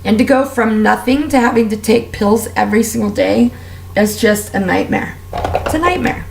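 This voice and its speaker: A woman with a raspy, strained voice, talking candidly and at length while doing her makeup for a vlog. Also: a little high, normal speed, roomy sound, background noise. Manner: candid, heartfelt, rambling with fillers. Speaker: beauty vlogger. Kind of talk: casual personal rant while doing makeup.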